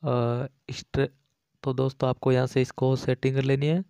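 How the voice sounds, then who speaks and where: A man's voice: mid-range voice, little pitch movement, moderate pace, warm tone, clear, resonant tone, no room sound. A man giving a casual, repetitive step-by-step app tutorial as a voice-over, addressing viewers as friends. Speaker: tech tutorial YouTube host.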